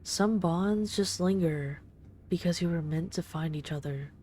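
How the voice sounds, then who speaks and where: Ageless female vampire for intimate audio roleplay, played by a woman: low, dark-toned, soft, unhurried, tenderly superior. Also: slight background noise, lightly acted. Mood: wistful, reflective. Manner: unhurried, intimate, gently condescending. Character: ageless female vampire, boss's daughter, tender, wistful and commanding.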